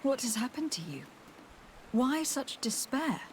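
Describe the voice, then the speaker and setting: Polite, higher-voiced adventurer. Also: female voice, sing-song, a little slow, slight background noise. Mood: concerned. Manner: polite, formal phrasing. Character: courteous female diplomat adventurer, earnest and persistent. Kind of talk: video game dialogue acting.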